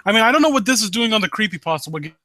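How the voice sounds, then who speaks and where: A man with a fairly high, bright, forceful voice chats casually as a critique host. He is blunt and swears freely, and the sound is very dry. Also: expressive, rapid-fire, dry recording. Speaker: host of a live story critique show. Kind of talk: casual chat, off-the-cuff critique.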